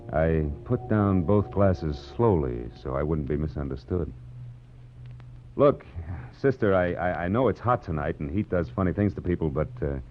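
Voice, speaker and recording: An American actor playing a wry, cool, hardboiled private detective in 1940s radio noir style. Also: male voice, sits low. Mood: wry, careful. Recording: muffled vintage radio broadcast.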